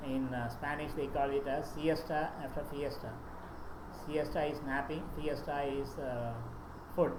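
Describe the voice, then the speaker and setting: A man with an Indian English accent, a native Tamil speaker, giving a presentation and reading out lists with occasional fillers and restarts. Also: fairly high pitch. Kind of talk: lecture presentation. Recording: echoey room with background noise.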